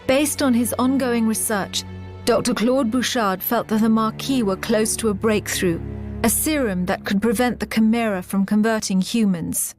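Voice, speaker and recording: A woman's voice: fairly high pitch, moderate pace, close to an everyday voice. A woman narrating a war game as a sober resistance officer recounting events in scripted style, over music. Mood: grave, measured. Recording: loud music behind. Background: loud music.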